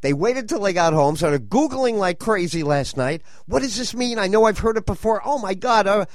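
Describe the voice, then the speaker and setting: A man's voice: high voice, animated, normal speed, no echo, studio quality. A man hosting a conversational radio talk show, with an effusive manner and dramatic phrasing.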